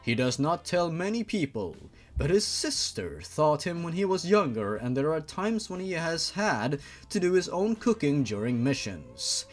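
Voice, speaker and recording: A stately supernatural father from a horror fan story, acted out by a male reader, speaks formally and precisely. His measured phrases swing widely in pitch, with faint music behind. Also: big pitch swings. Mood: amused, formal.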